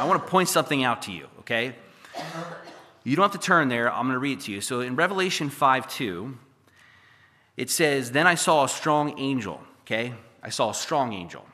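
Pastor, male, hoarse from losing his voice, bright, forceful tone, conversational preaching with jokey asides. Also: mid-range voice. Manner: conversational, with jokey asides and frequent "okay?" and "right?". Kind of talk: preaching a sermon with Bible readings. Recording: echoey hall reverb.

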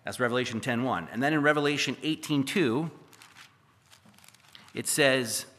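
A hoarse man preaching a sermon as pastor, reading scripture and adding chatty, joking asides, often checking in with "okay?" and "right?". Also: medium pitch, moderate pace, a bit bright. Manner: conversational, with jokey asides and frequent "okay?" and "right?". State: hoarse from losing his voice. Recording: echoey hall reverb.

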